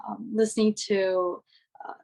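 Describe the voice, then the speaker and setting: A young woman speaks at a very unhurried pace in a mid-range voice. She is a student reflecting off the cuff, halting and searching for words. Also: medium pitch, flat delivery, creaky, darkish tone, low-quality recording. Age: young adult. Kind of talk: unscripted personal reflection at a presentation.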